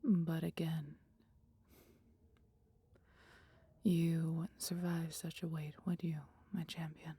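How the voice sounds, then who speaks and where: A woman voicing a mighty female dragon, proud yet caring, with breathy, husky tones and archaic, theatrical phrasing in a fantasy audio roleplay. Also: low-pitched, flat delivery, a little slow, warm tone, exaggerated voice. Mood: wistful, dramatic relish.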